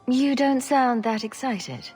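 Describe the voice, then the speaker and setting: A woman's voice: high voice, takes it slowly, a little mellow, husky, soft background music, heavily acted. An actress playing a confident female adventurer in a video game, with a dry, teasing, sardonic manner. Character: confident, dryly witty female champion adventurer.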